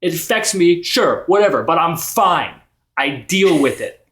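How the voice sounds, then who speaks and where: A man's voice: a little high, very animated, a little slow, a bit bright. Man playing a rambling, defensive bard. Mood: defensive, upset. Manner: rambling, dismissive, casual. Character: defensive wounded male bard, brushing off trauma. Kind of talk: improvised tabletop roleplay.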